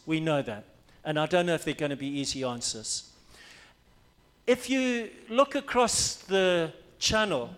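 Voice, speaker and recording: A man's voice: fairly high pitch, fairly bright tone. A man from Africa, a pastor preaching a sermon, emphatic and repeating his key phrases for weight.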